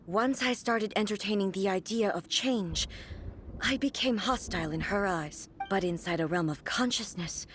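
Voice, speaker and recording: A woman playing a solemn, regal warrior deity in a video game, speaking in a grave, formal, measured manner. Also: mid-range voice, fairly bright tone, slight background noise, natural voice. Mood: grave, explaining. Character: solemn, regal female warrior deity and her stern puppet double. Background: game music.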